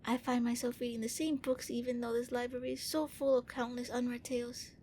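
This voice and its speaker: Woman playing a high-voiced, gentle vampire prince. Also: little pitch movement, fairly quick, a little hiss or hum, natural voice. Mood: curious. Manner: hesitant, politely fussy, earnest. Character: gentle, flustered young vampire prince, bookish and courteous. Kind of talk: ASMR roleplay voice acting.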